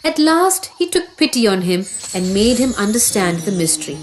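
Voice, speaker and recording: A woman's voice: mid-range voice, sing-song, moderate pace. A woman narrating a scripted children's mythology story as the storyteller. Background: music.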